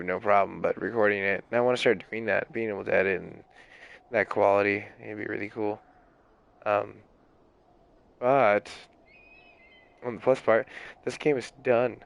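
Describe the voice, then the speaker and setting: Raspy, sick young man, rambling. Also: fairly low pitch, dull audio. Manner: rambling, full of "like" fillers and casual swearing. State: sick, hoarse. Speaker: game streamer. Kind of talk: casual chat.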